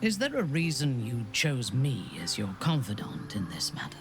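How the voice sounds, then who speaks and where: A cold, imperious dark elf woman from a fantasy game. She speaks with deliberate menace and a widely moving pitch, with music behind her. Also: sing-song. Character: cold, ruthless female drow warrior, imperious. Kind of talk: video game voice acting.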